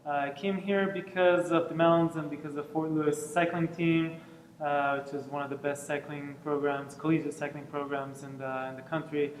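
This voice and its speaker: A man from Israel talks on a college panel in a measured, thoughtful way, pausing often. His voice is slightly high for a man and keeps an unusually flat, even tone. The sound is roomy, with a long hall reverb and faint ambience behind it. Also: slight background noise. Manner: measured, thoughtful with frequent pauses. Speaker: performance center director and lab coordinator. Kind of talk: panel discussion. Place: campus hall.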